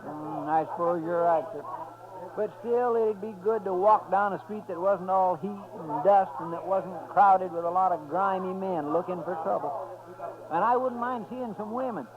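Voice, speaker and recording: An eager, wistful American deputy, acted by a man in a 1950s radio western. His voice is fairly high, folksy and deferential. The recording is dull, with a busy crowd behind him. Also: moderate pace, natural voice. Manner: folksy, respectful. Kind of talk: 1950s radio drama acting.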